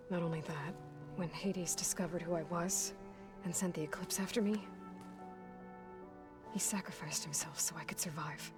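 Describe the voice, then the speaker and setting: A determined young warrior woman voiced by an actress in an adventure game. Her voice sits slightly low, guarded and matter-of-fact, over clearly audible music. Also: lightly acted. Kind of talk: video game acting. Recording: music under the voice.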